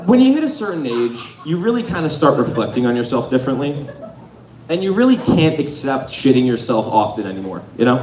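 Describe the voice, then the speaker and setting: Lively rambling stand-up man, distant club. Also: medium pitch, fairly quick. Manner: rambling, self-deprecating, stops to correct himself. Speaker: stand-up comedian. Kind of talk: stand-up comedy set. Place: comedy club stage. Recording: distant, echoey lo-fi club with crowd.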